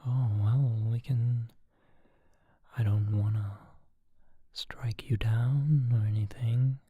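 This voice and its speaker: A storyteller whispers a child-sized, muscly but gentle frog creature from a bedtime fantasy tale. He stumbles over his words, full of "uh" and "well", in a very slow, dark, breathy hush on a dull, dry recording. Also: medium pitch, steady tone, dry recording. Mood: placating.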